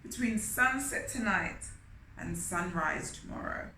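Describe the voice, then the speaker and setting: A woman's voice: mid-range voice, a little slow, bright, forceful tone, far from the mic. A woman voicing small, anxious storybook insects who moan in a dramatic, despairing way. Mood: dismayed. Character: small, anxious, moaning storybook fly and ant. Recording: roomy echo with faint noise.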